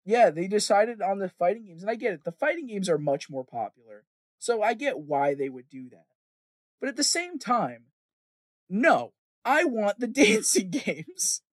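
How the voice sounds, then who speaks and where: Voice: medium pitch, big pitch swings, moderate pace, dry recording. A podcast host chatting casually in an opinionated ramble, emphatic and sweary, often repeating phrases for emphasis.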